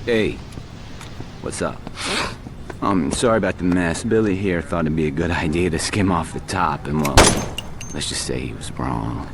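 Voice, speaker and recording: A very deep-voiced dealer in a video game. He is laid-back and dryly understated, with casual menace. Clear vehicle noise runs behind him. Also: moderate pace, long pauses. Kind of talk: video game cutscene acting.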